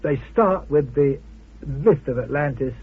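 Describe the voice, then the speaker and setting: Halting, husky man on muffled radio. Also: on the high side. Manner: halting, hesitant delivery with wry amusement. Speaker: interview guest, journalist and author. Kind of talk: informal interview conversation. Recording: muffled vintage radio interview.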